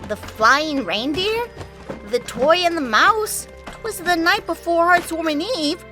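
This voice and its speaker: A woman voicing an earnest, bookish young female unicorn with an eager, expressive delivery, for a fan comic dub. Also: very high voice, measured pace, faint music behind, exaggerated voice. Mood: flustered.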